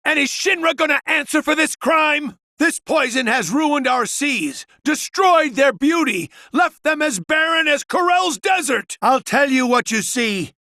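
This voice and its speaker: A man's voice: extremely high pitch, dry recording, clean studio sound, full character voice. An actor playing a fiery male resistance leader in a video game, delivering an impassioned, rousing speech to his rebels. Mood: angry, grieving. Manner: impassioned shouted oratory.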